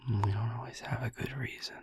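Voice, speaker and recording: A man playing a gentle, devoted vampire boyfriend in an intimate ASMR roleplay, whispering breathily and soothingly with long pauses. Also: mid-range voice, steady tone, vocal fry, breathy edge, no echo. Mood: tender, soothing.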